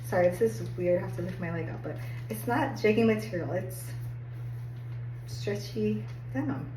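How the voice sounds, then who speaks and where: A woman getting over a cold and a little hoarse, chatting upbeat through a clothing try-on review with lots of "like"s. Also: medium pitch, normal speed. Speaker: fashion haul vlogger. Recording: echoey room, distant mic, faint hum.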